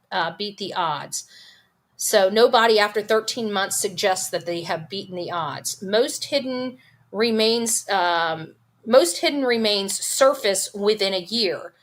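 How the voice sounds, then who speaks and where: Emphatic woman giving casual true crime commentary. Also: mid-range voice. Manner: opinionated, emphatic, repeats phrases for emphasis. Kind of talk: casual commentary to live viewers, reading text aloud and reacting.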